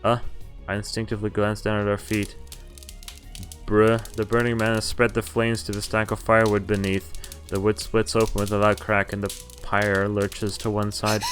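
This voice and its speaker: Low-voiced man reading a game story aloud. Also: monotone, normal speed, a bit bright. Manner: stumbles over words and corrects himself, swears casually. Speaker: streamer reading a visual novel aloud. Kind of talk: dramatic read-aloud of game narration and dialogue with casual asides. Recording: music behind the voice. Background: game music.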